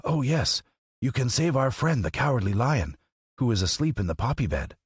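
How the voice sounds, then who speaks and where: A man's voice: mid-range voice, big pitch swings, slightly rough, no echo, voice pushed up. A friendly straw-stuffed scarecrow speaks up eagerly, voiced by a man. His voice stays close to a normal speaking voice, with a slight lift, and the recording is dry. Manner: eager, quick.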